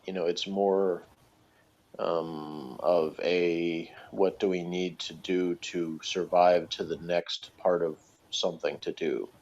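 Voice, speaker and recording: A man with a very low voice chats analytically and casually, feeling his way through each thought. He is tentative and often hesitant. The recording is muffled and lo-fi. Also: fairly even intonation, takes it slowly, darkish tone. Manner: hesitant, tentative, thinking aloud. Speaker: guest reviewer discussing TV characters. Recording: dull lo-fi voice recording.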